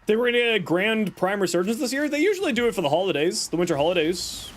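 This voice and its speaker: A man's voice: high-pitched, big pitch swings, fast talker, a little hiss or hum. A man streaming a game and chatting with viewers, self-deprecating and rambling, who is losing his voice. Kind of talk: casual live-stream chat answering viewers.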